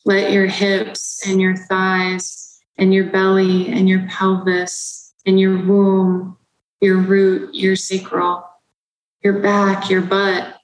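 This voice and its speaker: Slow, soothing woman guiding a meditation. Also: medium pitch, monotone, reverberant, rough recording. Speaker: meditation guide. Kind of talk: guided body meditation.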